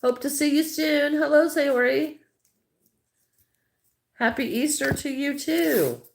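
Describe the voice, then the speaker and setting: A woman's voice: high voice, animated, takes it slowly, gravelly. Chatty Midwestern woman crocheting on livestream. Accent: Midwestern American. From American Midwest. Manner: chatty, greets viewers by name, thinks aloud while working. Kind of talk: casual livestream chat while crocheting.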